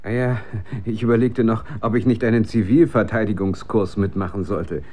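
Actor as a low-voiced, offhand grumbling husband. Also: male voice, steady tone, moderate pace, warm tone, a little hiss or hum, close to an everyday voice. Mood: dry, offhand. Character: casual, mildly grumbling husband, a working doctor. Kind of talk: naturalistic radio drama dialogue.

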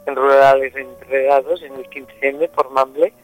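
A man's voice: medium pitch, flat delivery, moderate pace, rough recording. A man being interviewed on the radio about a gathering he helps organize, speaking hesitantly and often repeating words. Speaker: guest organizer of a rural gathering.

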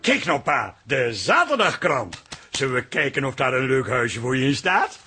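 An actor playing a middle-aged, pushy and sarcastic café husband in a radio drama, speaking with an Amsterdam accent. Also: male voice, mid-range voice, sing-song, moderate pace, bright, forceful tone, soft background music. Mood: sly.

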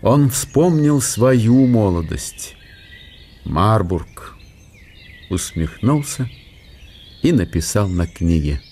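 A man's voice: lowish voice, big pitch swings, very slow, long pauses, a little mellow, husky. A man reading scripted literary narration for a radio drama.

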